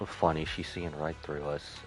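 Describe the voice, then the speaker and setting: A man's voice: extremely low pitch, little pitch movement, normal speed, faint music behind, low-quality recording. A man giving casual, slangy playthrough commentary on a video game as a streamer. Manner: informal, slangy reactions. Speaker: gaming streamer. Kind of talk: casual playthrough commentary, reading dialogue aloud.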